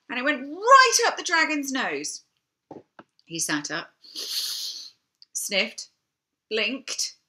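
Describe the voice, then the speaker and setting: An older woman reading a children's picture book aloud, theatrically, with dramatic pauses and emphasis. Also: mid-range voice, bright, forceful tone. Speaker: storybook reader.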